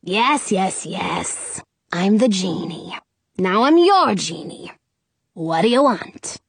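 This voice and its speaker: Female reader as old male genie, obnoxious, theatrical, animated pitch, storybook character, close dry sound. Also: slow pace, dry recording, full character voice. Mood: grumpy, sarcastic. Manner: gruff, sarcastic, theatrical exclamations. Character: grumpy, obnoxious old male genie turning gushingly joyful.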